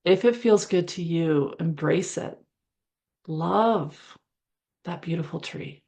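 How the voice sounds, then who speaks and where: Female meditation guide: gravelly fry, very slow delivery, gentle and conversational, improvising a visualization in short phrases. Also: fairly low pitch. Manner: soothing, conversational, pausing between short phrases.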